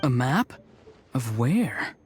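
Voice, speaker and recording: A man's voice: medium pitch, big pitch swings, extremely slow, a little hiss or hum. An actor playing a terse, dry young man attuned to spirits in a video game. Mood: questioning.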